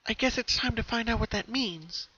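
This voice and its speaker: A man's voice: very high-pitched, moderate pace, pushed and bright, husky, dry recording, dull audio, pitched above the performer's own voice, full character voice. A man voicing a young female cartoon pony, anxious and easily shocked, with hesitant speech. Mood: resolute.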